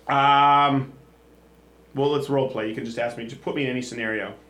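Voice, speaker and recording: A man's voice: mid-range voice, steady tone, brisk, fairly bright tone. A man hosting a casual comedy chat show, joking and rambling. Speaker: host.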